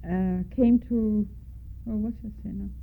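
A woman's voice: mid-range voice, fairly even intonation, unhurried, gravelly. Older Danish woman reminiscing on lo-fi tape. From Denmark. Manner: reminiscing, spells out names letter by letter. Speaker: interviewee. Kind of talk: oral history interview. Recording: tinny phone-like lo-fi tape.

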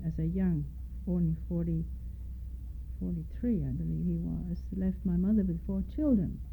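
A woman's voice: on the low side, fairly even intonation, moderate pace, vocal fry, a little hiss or hum. An older woman from Denmark with a Danish accent, recalling her life in an oral history interview and spelling out names letter by letter. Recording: tinny phone-like lo-fi tape.

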